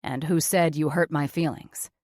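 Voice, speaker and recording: A cocky, no-nonsense male surgeon from an audiobook, as a woman narrator puts him on, dropping curses with cool, flat certainty. The voice sits low, and the recording is close and dry with no room sound. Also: moderate pace, no room sound. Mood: blunt. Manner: profane, blunt, matter-of-fact. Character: blunt cocky male surgeon, adult man.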